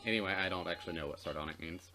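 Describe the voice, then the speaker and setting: A man's voice: low-pitched, rapid-fire, bright, forceful tone. A man reading visual novel narration aloud for a let's play, with casual asides.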